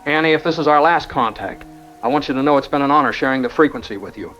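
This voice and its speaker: A man's voice: medium pitch, quick pace, a bit bright, close to an everyday voice. A man playing a resigned, sincere ham radio operator speaking solemnly in an apocalyptic radio drama. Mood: resigned, farewell. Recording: dull radio sound, rain and music.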